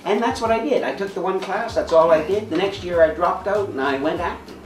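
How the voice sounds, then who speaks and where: A man's voice: sits high, fairly quick, roomy sound, far from the mic, noisy background. A man in his sixties from Saskatchewan, a veteran actor chatting as a guest in an interview, joking about himself and laughing often. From Saskatchewan, Canada. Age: 60s. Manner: self-mocking, laughs often. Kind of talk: casual interview chat.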